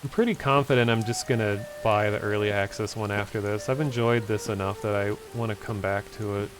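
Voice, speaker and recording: A man streaming a game, casually answering chat and talking through play, hoarse from losing his voice after hours of talking. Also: on the low side, brisk, music in the background. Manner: relaxed, easygoing, answers chat questions. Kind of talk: casual gameplay commentary, reading chat.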